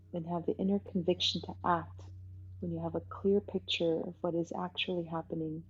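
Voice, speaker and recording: A woman leading a guided meditation as its teacher, talking in an unhurried way with pauses between short phrases. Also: low voice, darkish tone, slightly rough. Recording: dull lo-fi sound, music behind. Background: music.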